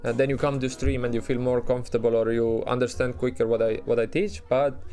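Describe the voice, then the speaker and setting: A man's voice: mid-range voice, flat delivery, a little fast, mellow, faint music behind. A man with an Estonian accent, talking in a loose, chatty way as he streams live and answers his chat, with many restarts and fillers. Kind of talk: casual live-stream commentary.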